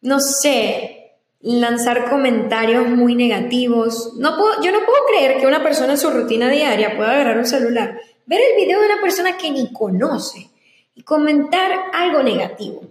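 A woman's voice: high-pitched, animated, normal speed. A woman, a guest who talks earnestly and draws out her phrases. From Venezuela (Zulia). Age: 20s. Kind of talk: casual interview chat. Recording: echoey room with long reverb.

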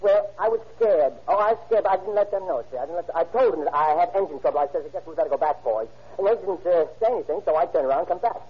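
Excitable, digressive cabbie for vintage radio drama: rapid-fire, rough-edged, muffled old recording. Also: male voice, high voice. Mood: nervous rambling. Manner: chatty, digressive, flustered. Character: nervous, rambling, excitable male cab driver. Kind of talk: old-time radio crime drama acting.

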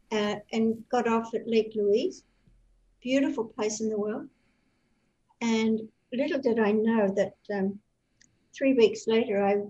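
An older Australian woman with a slightly high voice reminisces as an interview guest, wandering through her stories with fillers and restarts. Her voice comes over dull, lo-fi online call audio. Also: darkish tone. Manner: rambling storyteller with many fillers and restarts.

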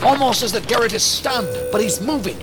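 Excited tech, very high male pitch, relaying updates, audio drama, loud music. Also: a little fast.

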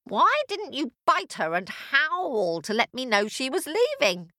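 A menacing old storybook witch, played by a woman, greedy and quick to rage. The delivery is highly animated, wheedling and growling across a wide pitch range. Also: no echo, studio quality. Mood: furious.

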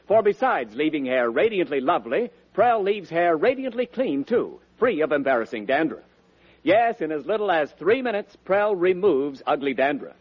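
A man's voice: a little high, husky. An American man announcing scripted advertisements and show credits in the style of 1940s network radio. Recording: dull lo-fi 1940s radio recording.

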